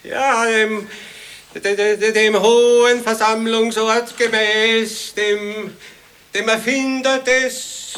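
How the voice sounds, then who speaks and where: Flustered pompous minister in a radio comedy, slow n stammery, bright voice, noise behind. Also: male voice, high voice, little pitch movement. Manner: halting, stammering, ceremonious. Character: stammering, pompous government minister giving a ceremonial speech. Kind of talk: satirical radio drama acting.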